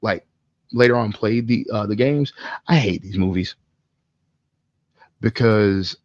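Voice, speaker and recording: A man's voice: on the low side, takes it slowly, darkish tone, dull audio, a little hiss or hum. A man co-hosting a podcast, talking casually and bluntly with slang, swearing and strong opinions. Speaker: podcast co-host. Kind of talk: casual film discussion.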